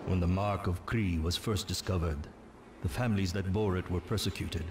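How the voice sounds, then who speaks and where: An actor playing a solemn, portentous narrator of dark ancient legends in a video game, telling them as dramatic scripted storytelling. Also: male voice, low voice, fairly even intonation, normal speed, very noisy, lightly acted. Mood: grave, ominous.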